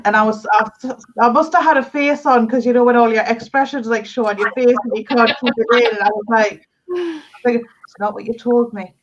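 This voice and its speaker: A woman's voice: a little high, fairly even intonation, a little fast, slight background noise. A woman chatting casually as a guest, candid and emphatic, repeating herself and quoting people as she goes. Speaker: guest on a live discussion. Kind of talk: casual chat, anecdote telling. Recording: dull lo-fi video call audio.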